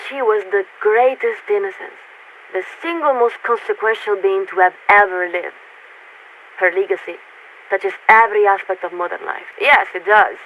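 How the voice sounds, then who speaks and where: A poised warship radio officer from a video game, polite and bureaucratic to the core. The delivery is measured and formal, coming through a thin, band-limited transmission with a light layer of noise. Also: female voice, medium pitch, phone-quality sound. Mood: assured, lofty. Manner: formal, measured, courteous, speaks as "we". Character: poised female military signaler aboard a coalition warship, politely bureaucratic. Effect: radio transmission filter. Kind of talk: scripted video game character dialogue.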